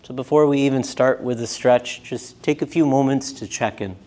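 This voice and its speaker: Unhurried man guiding a yoga class. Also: mid-range voice. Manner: unhurried, gentle phrasing in short pieces with pauses. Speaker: yoga and meditation teacher. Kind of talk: guided meditation class instruction. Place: live class in a yoga studio.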